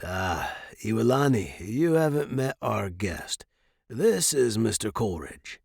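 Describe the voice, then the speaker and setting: A man voicing an older male host, easygoing and gently protective of his dragon, in an audiobook story. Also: on the low side, big pitch swings, slow pace, gravelly, slightly rough, no echo, studio quality, exaggerated voice. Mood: welcoming.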